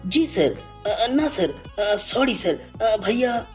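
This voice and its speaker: A woman's voice: a little high, big pitch swings, unhurried. A woman voicing a nervous, hesitant young male suitor in a romantic audio story. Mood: flustered. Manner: hesitant, stammering. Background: background music.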